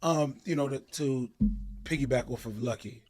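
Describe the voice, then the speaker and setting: A man's voice: medium pitch, normal speed, over music. A man hosting a podcast, loose and playful, introducing guests with big hype. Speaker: podcast host.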